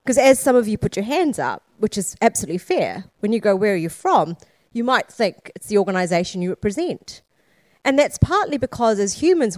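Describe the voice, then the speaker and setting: A woman's voice: medium pitch, darkish tone, no echo. Woman keynote speaker, dry close sound. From born in Sri Lanka, raised in Scotland and New Zealand. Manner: rhetorical questions to the audience, emphatic repetition. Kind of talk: conference keynote speech.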